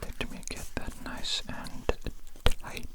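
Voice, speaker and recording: A performer acts out an absent-minded alien doctor in a soothing ASMR roleplay. The voice is all breathy whisper. The doctor murmurs fussily and repeats small phrases over and over. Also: takes it slowly, natural voice. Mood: absent-minded, fussy.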